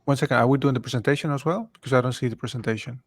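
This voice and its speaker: Relaxed male co-host from Ecuador, chatty and welcoming on an online call. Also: mid-range voice, rapid-fire. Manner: easygoing, greets attendees by name. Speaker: webinar co-host. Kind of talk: live webinar, casual chat.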